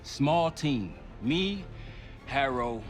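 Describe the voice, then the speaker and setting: A man's voice: mid-range voice, lively intonation, very slow, over loud music. An actor playing a defiant, matter-of-fact male field operative in a video game cutscene. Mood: focused, businesslike. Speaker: covert operative. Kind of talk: cinematic game cutscene acting.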